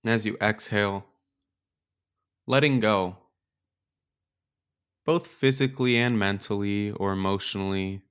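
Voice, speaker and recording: A man leading a guided meditation as a teacher, speaking in a calm, gentle, unhurried way with pauses. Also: on the low side, smooth. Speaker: meditation teacher and podcast host. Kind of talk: guided meditation, slow and instructional.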